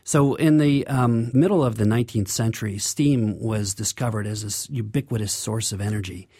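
A man's voice: fairly low pitch, moderate pace, mellow, breathy edge, dry recording. Man explaining in a dry studio interview. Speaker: interview guest, engineer.

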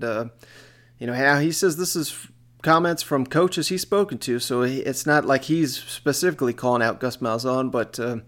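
A man hosting a sports podcast, talking casually with a co-host. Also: mid-range voice.

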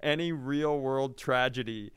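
A man's voice: mid-range voice, unhurried, smooth, dry recording, studio quality. A man co-hosting a comedy podcast, telling stories in casual, profane banter with mock quotes.

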